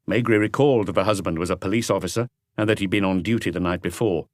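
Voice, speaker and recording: A man's voice: low-pitched, quick pace, no echo, clean studio sound. A man reading scripted literary narration for a mystery audiobook. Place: close, dry recording space.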